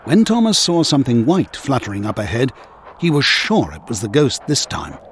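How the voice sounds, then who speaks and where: A man narrating a scripted children's story in an expressive, measured storytelling manner. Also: mid-range voice, slight background noise.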